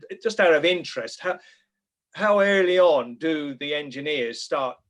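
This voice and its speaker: A British man putting an unscripted question as a committee member, with halting, tentative phrasing. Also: mid-range voice, fairly bright tone. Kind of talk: formal meeting question, unscripted.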